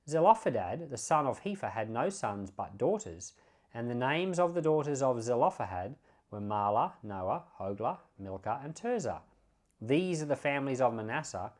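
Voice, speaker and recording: A man reading the Bible aloud as a pastor, in measured phrases with emphatic openings. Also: medium pitch.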